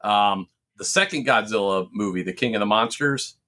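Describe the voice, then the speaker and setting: A man's voice: lowish voice, moderate pace, pushed and bright. A middle-aged man chatting casually about pop culture as a podcast co-host, rambling and telling stories with some swearing.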